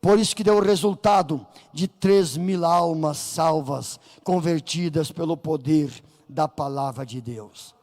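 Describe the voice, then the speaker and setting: A man with a Brazilian Portuguese accent preaching a Pentecostal sermon in church, fervent and rising to shouts as he acts out voices from the story. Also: fairly high pitch, unhurried. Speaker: pastor. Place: church sanctuary. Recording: reverberant church hall.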